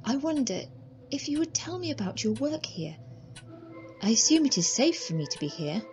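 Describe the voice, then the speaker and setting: Woman playing a lively-toned, genteel curious lady. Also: sits high, moderate pace, soft background music. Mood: polite, curious. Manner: formal, gentle, expressive. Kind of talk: radio drama acting.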